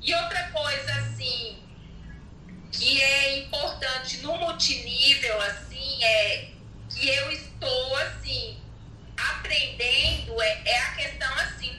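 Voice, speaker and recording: A woman from Alagoas in Brazil, possibly, speaking slowly and haltingly with many pauses as a guest in a casual interview. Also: sits high, sharp tone, slight background noise. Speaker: network marketing participant, guest. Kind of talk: hesitant conversational testimony. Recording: echoey distant mic with faint ambience.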